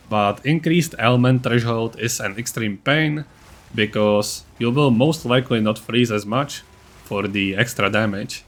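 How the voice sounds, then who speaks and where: A man's voice: lowish voice, fairly bright tone. A man giving a scripted, matter-of-fact game guide narration, with a blunt, self-deprecating streak. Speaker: gaming video creator.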